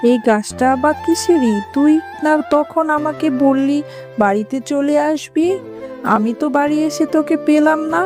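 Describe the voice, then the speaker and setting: A woman's voice: high voice, moderate pace, natural voice. A woman voicing a harried, chiding fox mother in a children's cartoon. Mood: puzzled, doubting. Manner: chiding, explaining herself. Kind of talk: children's animated fable acting. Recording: music under the voice.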